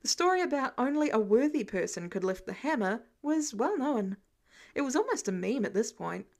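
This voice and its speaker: A woman narrates a story in a fairly high voice. She reads scripted prose in an audiobook style.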